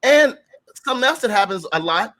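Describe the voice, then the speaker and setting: A man's voice: high voice, expressive, brisk, pushed and bright. A man co-hosting a talk show, ranting casually in colloquial street talk, repeating himself and swearing mildly as he preaches. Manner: frequent mild swearing. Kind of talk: casual opinionated rant to co-hosts and audience.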